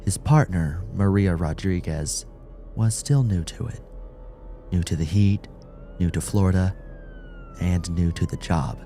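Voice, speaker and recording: A man's voice: low-pitched, measured pace, pauses often, mellow, soft background music. A man reading a scripted dramatic short story as an audiobook narrator.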